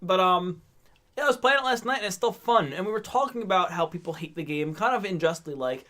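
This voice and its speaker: Casting: male podcast co-host, high voice, chatty and animated, quick to laugh. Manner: laughs often, animated, restarts words. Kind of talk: casual chat.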